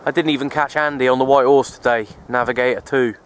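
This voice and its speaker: A man, rambling casually in a low-key, resigned way as he narrates a vlog on a handheld camera. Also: steady tone, fast talker. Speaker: outdoor radio hobbyist vlogger. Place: outdoors on an open wooded hilltop. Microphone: handheld camera's built-in mic, held by speaker. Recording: outdoor handheld camera, wind and ambient noise.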